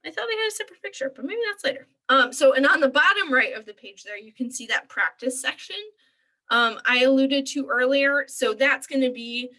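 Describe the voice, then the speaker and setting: Bright-voiced young woman librarian, chatty demo, lots of ums, kinda high voice. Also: expressive, a little fast, low-quality recording. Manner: chatty, many "um" fillers, upbeat explaining. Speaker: librarian presenting a tutorial. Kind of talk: screen-share walkthrough.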